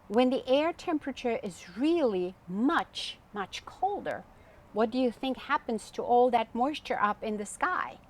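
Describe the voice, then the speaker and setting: A woman voicing a kindly, knowledgeable storybook uncle who explains things patiently and deliberately. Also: mid-range voice, a little hiss or hum.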